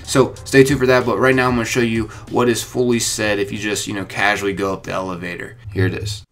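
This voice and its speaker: A man's voice: on the low side, flat delivery, fast, fairly bright tone. A young man hosting a gaming video, talking to viewers in a casual, chatty, informal way. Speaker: gaming YouTuber, host. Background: light music.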